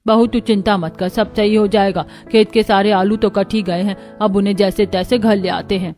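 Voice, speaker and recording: Lady doing elderly village saas, mellow and advising, moral story, faint music. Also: mid-range voice, steady tone, moderate pace, close to an everyday voice. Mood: reassuring. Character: practical elderly village mother-in-law, female.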